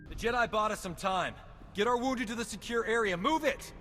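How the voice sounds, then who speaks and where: An actor voicing a cocky, flirtatious resistance medic in a video game, with a brash, glib way of talking. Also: male voice, high-pitched, a little fast, a bit bright. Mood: urgent, commanding. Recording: roomy reverb over music.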